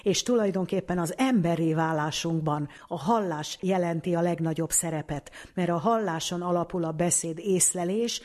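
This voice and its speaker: Woman, somewhat low pitch, medical specialist, explanatory interview talk. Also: darkish tone. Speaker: ear specialist doctor interviewee.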